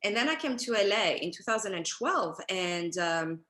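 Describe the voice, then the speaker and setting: A woman with a French accent carrying British traces, a native French speaker and actress telling stories in a chatty, animated way as an interview guest. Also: medium pitch, normal speed. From France. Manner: animated, chatty, lots of fillers and quoted dialogue. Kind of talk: casual interview storytelling. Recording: roomy echo.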